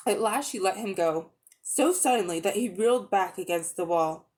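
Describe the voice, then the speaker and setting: A woman reading a children's story aloud in an expressive, scripted narrator's style. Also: mid-range voice, normal speed.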